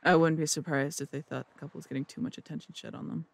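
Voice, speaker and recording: A no-nonsense detective woman, as acted for an audio roleplay. The voice is raspy and full of fry. The manner is dry, focused and sharp-tongued. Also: low-pitched, level tone, fast talker, dry recording. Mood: serious, businesslike. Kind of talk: audio roleplay drama acting.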